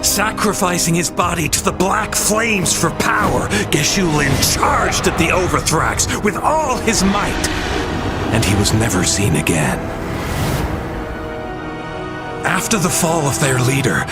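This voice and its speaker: Game storyteller, grandiose, higher-pitched, sweeping intonation, dramatic war-legend narration, loud music behind. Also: male voice. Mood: grave, dramatic. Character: theatrical male storyteller recounting a heroic war legend.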